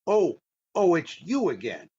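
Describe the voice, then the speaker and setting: A man's voice: fairly high pitch, wildly swooping pitch, measured pace, warm tone, breathy edge, full character voice. An actor playing a fussy, hesitant, easily exasperated male comedian in a 1940s-style radio comedy. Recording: dull online radio-play recording.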